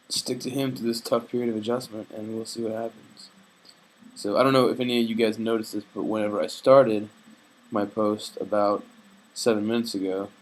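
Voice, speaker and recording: A man's voice: fairly low pitch, low-quality recording. A young man recording a video diary, talking in a hesitant, reflective and earnest way, full of fillers and restarts, homesick. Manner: earnest, faith-minded. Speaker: vlogger. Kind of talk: casual personal video diary.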